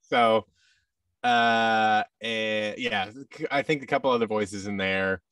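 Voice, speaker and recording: An American man in his thirties chatting casually as an interview guest, in a halting, self-effacing manner. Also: fairly low pitch, fairly even intonation, a bit bright, clear, resonant tone, no room sound, studio quality, far from the mic. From United States. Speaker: guest, voice actor. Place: office room indoors, video call. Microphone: boom microphone and headset on a video call.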